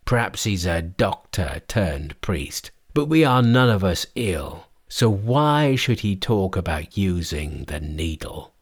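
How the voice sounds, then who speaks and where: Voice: deep voice, very animated, slow pace, few pauses, breathy edge, full character voice. A storyteller voicing a menacing male robber from a storybook band, scornful and drawing out the threats. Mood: baffled. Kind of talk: storybook character voice.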